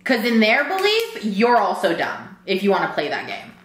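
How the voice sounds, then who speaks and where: Young woman, lively swinging voice, emphatic, laughs easily, echoey room. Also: medium pitch. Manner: animated, emphatic, quick to laugh. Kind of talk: casual chat while eating. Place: bright room indoors at a table.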